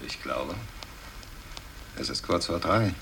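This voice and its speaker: An actor playing a terse, secretive adult son in a radio drama, restrained and earnest. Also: male voice, low-pitched, expressive, slow, creaky, fairly bright tone, slight background noise, exaggerated voice, low-quality recording. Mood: reserved. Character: guarded adult son, terse, secretive, accusing his father. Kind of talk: 1960s German radio drama acting.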